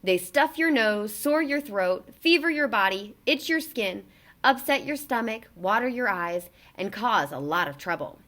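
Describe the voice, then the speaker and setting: A woman reading a children's picture book aloud in an expressive, emphatic storytelling delivery. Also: on the high side, unhurried.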